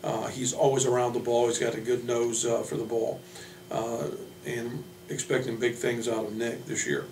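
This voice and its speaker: An American man, a basketball coach, introducing his team informally with halting, pausing delivery. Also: mid-range voice, level tone, a little mellow. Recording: echoey room from a distance, hum, noise.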